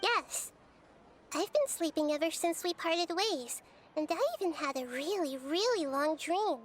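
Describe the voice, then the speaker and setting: An actress voicing a gentle, wise, childlike young goddess in a video game, speaking softly and thoughtfully. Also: female voice, very high-pitched, lively intonation, measured pace, a little hiss or hum. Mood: happy, innocent. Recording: music behind the voice.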